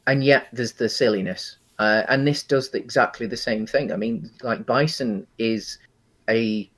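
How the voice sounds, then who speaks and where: A British man co-hosting a casual discussion of films and games, speaking in a halting way with frequent pauses mid-sentence. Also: mid-range voice, a bit bright. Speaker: podcast co-host.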